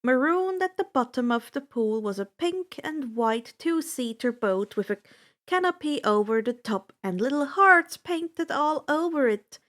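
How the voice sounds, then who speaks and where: High-voiced woman narrating, unhurried. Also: lively intonation, a bit bright. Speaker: fan fiction narrator. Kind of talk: expressive first-person story narration.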